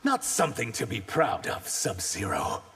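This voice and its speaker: A man's voice: on the low side, huge pitch swings, normal speed, fairly bright tone, husky, long reverb tail, exaggerated voice. A man voicing an earnest, guarded reptilian shapeshifter warrior in a video game. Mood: earnest defiance. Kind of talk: video game character dialogue.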